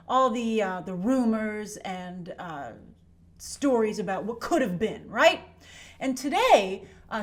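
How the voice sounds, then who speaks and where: Female commentary host, fairly high voice, opinionated and emphatic, talks straight to the viewer in a conversational style. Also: fairly bright tone. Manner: emphatic, conversational, with rhetorical check-ins. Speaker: YouTube host and film commentator.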